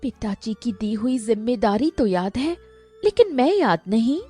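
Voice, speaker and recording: A woman voicing a sulky, impatient young village sweetheart in an animated story. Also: fairly high pitch, a little slow, slightly rough, soft background music, voice pushed up.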